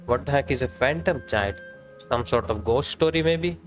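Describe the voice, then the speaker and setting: A man's voice: mid-range voice, moderate pace. A man live-streaming a game, commenting casually and reading dialogue aloud as he plays. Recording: game music behind the voice. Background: game music.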